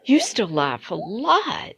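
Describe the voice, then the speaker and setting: A woman playing a tense, weary hostess in a dangerous world in an audio drama, speaking in a measured, guarded way. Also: mid-range voice, wildly swooping pitch, gravelly, noisy background, full character voice. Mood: weary, haunted.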